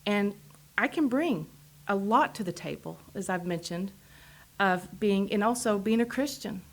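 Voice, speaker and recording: A woman's voice: mid-range voice, lively intonation, measured pace. Earnest Texan woman candidate. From Texas. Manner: earnest, repeats short affirmations. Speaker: political candidate. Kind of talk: interview answers.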